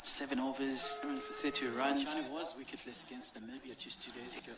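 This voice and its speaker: A man's voice: medium pitch, fairly even intonation, fast talker, far from the mic, over loud music. A man working as a cricket commentator, analysing a live match. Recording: echoey, off-mic, crowd noise behind.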